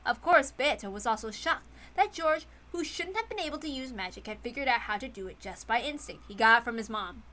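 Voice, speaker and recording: A woman's voice: on the high side, lively intonation, a little fast, pushed and bright. A woman reading a story aloud as a dramatic scripted narration. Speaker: reader of a visual novel.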